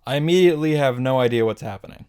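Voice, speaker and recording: Brief: male host for comic story recaps, sarcastic, irreverent, happy to swear, clipped punchy lines, medium pitch. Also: fast talker, a little mellow, smooth. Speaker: host of a visual novel summary series. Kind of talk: comedic recap narration.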